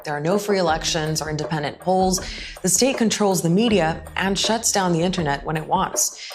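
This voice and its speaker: Low-voiced woman news presenter over music. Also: moderate pace. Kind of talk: scripted news explainer. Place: studio with dark blue backdrop.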